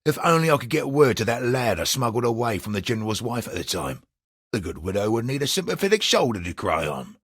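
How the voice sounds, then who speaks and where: An actor playing a roguish, wry smuggler in a fantasy game, with a gravelly, raspy voice and sardonic speech. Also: male voice, on the low side, dry recording, studio quality, heavily acted. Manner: colloquial working-class speech, sly and sardonic. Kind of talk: in-game character dialogue.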